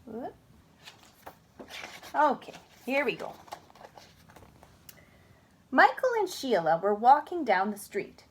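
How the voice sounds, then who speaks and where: A woman reading a children's story aloud in an expressive, unhurried way, with chatty asides. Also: fairly high pitch, husky.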